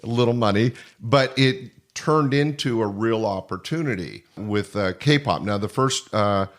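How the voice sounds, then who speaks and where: A man's voice: fairly low pitch, unhurried. An American man in his sixties hosting a casual interview, reminiscing and affirming his guests. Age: 60s. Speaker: interview host.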